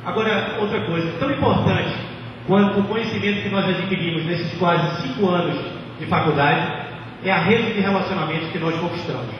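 A man's voice: high voice, fairly quick. A man, giving a humorous speech as a graduating student at a podium. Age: 20s. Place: large graduation ceremony at a podium. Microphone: microphone on a stand through speakers. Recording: echoey outdoor PA, muffled, distant, noisy.

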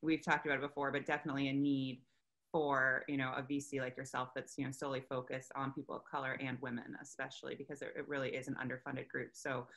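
A woman's voice: rumbling low, level tone, creaky, a bit bright. A young woman hosting a casual video-call interview from outdoors in a park, upbeat and enthusiastic, often restarting her sentences. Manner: bubbly, enthusiastic, quick restarts. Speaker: interview host. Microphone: video call webcam.

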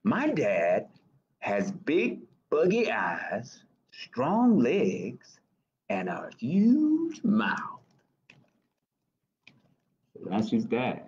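An American man in middle age reads a children's picture book aloud at an unhurried pace. His pitch swings expressively as he stops to ask little questions. The webcam recording sounds slightly dull. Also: huge pitch swings, darkish tone. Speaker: library storytime reader. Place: small office, indoors.

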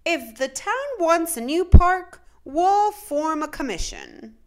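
A woman voicing a brisk, commanding town mayor in a children's storybook, loud and declamatory. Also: very high voice, expressive, pitched above the performer's own voice, full character voice.

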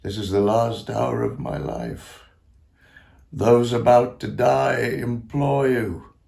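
Guy doing an old italian composer near death, deepish creaky voice, theatrical stage monologue, pompous but mocks himself. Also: very animated, unhurried, exaggerated voice. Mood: solemn pleading. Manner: theatrical, declamatory, swinging to hushed asides. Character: aged Italian male composer, grandiose, confiding, self-mocking, near death. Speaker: actor performing a monologue.

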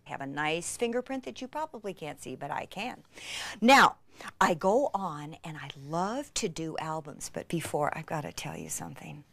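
A woman presenting a craft demonstration in an excitable, gushing way, with emphatic drops into a breathy near-whisper. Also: low-pitched, normal speed, dry recording.

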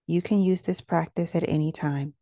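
A woman with a soft-edged, mellow voice sits slightly low in pitch, with a touch of creak. She guides a meditation in measured, soothing phrases, recorded very dry and close. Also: fairly even intonation, dry recording. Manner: slow, soothing, measured instruction.

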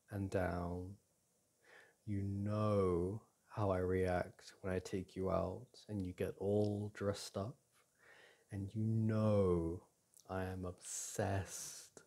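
Man acting as a slow, low-voiced, breathy, doting boyfriend. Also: heavily acted. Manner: tender, reassuring, with sighs and soft chuckles. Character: doting, reassuring boyfriend comforting a partner. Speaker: audio roleplay performer. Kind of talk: intimate boyfriend ASMR roleplay.

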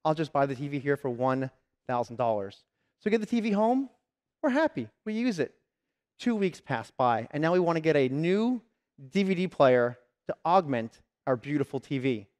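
A fairly high-voiced man in his thirties delivers a keynote from a large conference stage. He tells everyday shopping stories and slips into quick voices for the customer and the salesperson. He speaks into a headset mic. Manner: lively storytelling, acting out customers and salespeople.

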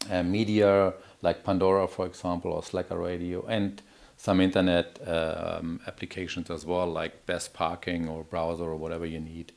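A middle-aged man giving a live product demo in a halting, explanatory manner. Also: sits low, flat delivery, vocal fry, darkish tone. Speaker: product demonstrator.

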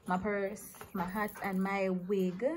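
A woman's voice: a little high, steady tone, measured pace, a little mellow. A woman in her early twenties with a Jamaican accent, chatting casually as a vlogger into a phone. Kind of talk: casual vlog chat. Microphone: phone, handheld or propped nearby. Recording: faint background noise on phone recording.

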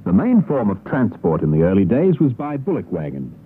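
Low-voiced Australian radio presenter on shortwave. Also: male voice, huge pitch swings, brisk. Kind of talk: scripted folk music radio narration. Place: radio broadcast. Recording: narrowband, noisy 1960s shortwave radio broadcast.

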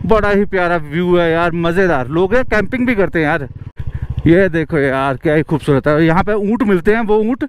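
A young man casually narrating a travel vlog outdoors while riding a motorbike, chatty and excited. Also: sits high, fairly quick, a little hiss or hum. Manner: chatty and excited, keeps saying "yaar". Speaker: travel vlogger. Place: outdoors, riding a motorbike through a mountain valley.

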